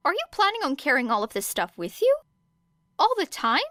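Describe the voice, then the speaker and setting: An earnest, nerdy teenage boy played by a woman, high-pitched and hurried. He rambles through details with analytical curiosity, in a clean, dry audiobook sound. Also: wildly swooping pitch, a bit bright, dry recording, heavily acted. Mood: curious, flustered.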